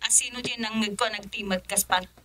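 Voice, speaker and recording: A woman's voice: mid-range voice, steady tone, a little fast, sharp tone, natural voice. A woman with an Ilocano accent playing a curt, demanding madam in a radio drama.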